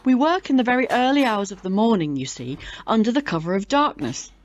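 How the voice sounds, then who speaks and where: A woman's voice: fairly high pitch, animated, a little fast, muffled recording, lightly acted. A woman playing a chatty, proud older British club chairwoman in an audio drama, genteel and theatrical in manner. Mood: conspiratorial.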